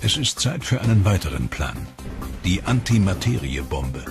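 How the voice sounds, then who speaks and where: Deep-ish guy doing documentary voice-over, slow and grave, music playing under him. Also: sing-song. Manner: measured, grave and dramatic delivery. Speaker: documentary narrator.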